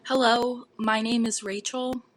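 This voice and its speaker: A woman leaving a personal voicemail in a measured, earnest way. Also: on the high side, little pitch movement. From United States. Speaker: caller.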